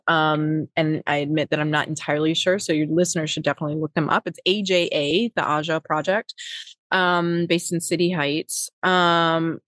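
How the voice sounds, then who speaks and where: Brief: middle-aged woman, Wisconsin accent, low voice, dry close recording, meandering interview answers. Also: a bit bright, dry recording. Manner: rambling, many fillers and restarts. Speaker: podcast guest, nonprofit executive. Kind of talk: casual interview talk.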